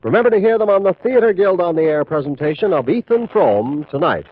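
A man's voice: high-pitched, lively intonation, a little fast, husky, no room sound. A man with an American accent reading a scripted network promo as a 1950s radio announcer. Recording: tinny narrow-band 1950s radio recording.

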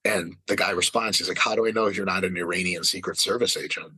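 A man's voice: deep voice, steady tone, quick pace, sharp tone, breathy edge, dry recording, low-quality recording. A man in his late thirties in casual podcast chat, ranting with emphatic, profane energy. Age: 30s. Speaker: fitness podcast regular.

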